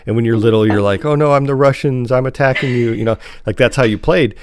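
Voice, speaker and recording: A man around his forties with an American accent, co-hosting a podcast in an informal, halting way as he thinks aloud. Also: medium pitch, a crowd in the background. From United States. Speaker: podcast co-host. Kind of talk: casual conversational review chat.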